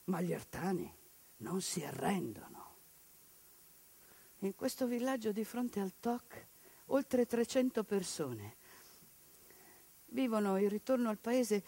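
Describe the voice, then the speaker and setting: A woman performing a theatrical monologue, narrating dramatically, moving between hushed and declaimed delivery. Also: fairly high pitch, no room sound.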